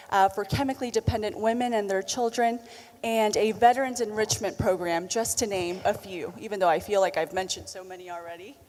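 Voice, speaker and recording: A woman with a Southern American accent moderates a panel in a large hall, introducing the speakers in a friendly tone. Her pitch sits in the middle range. Her voice carries a long reverb from the room. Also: darkish tone. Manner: friendly, reading guest introductions with fillers. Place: conference hall.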